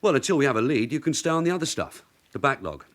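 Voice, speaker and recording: A man acting a shrewd veteran detective sergeant in a radio drama, with a brisk, clipped, questioning manner. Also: mid-range voice, big pitch swings, a bit bright. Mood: businesslike, dry.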